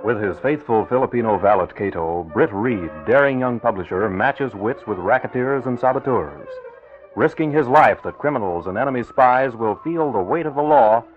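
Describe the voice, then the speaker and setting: A male radio announcer with a mid-pitched voice, narrating in dramatic 1940s style. The recording is thin and tinny, like an old broadcast. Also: expressive, moderate pace, soft background music.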